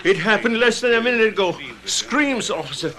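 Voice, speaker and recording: An actor playing a shaken, breathless Parisian bystander in a radio mystery drama. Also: male voice, sits high, animated, fairly quick, bright, forceful tone, full character voice. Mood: horrified. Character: shaken male Parisian bystander, witness to screams.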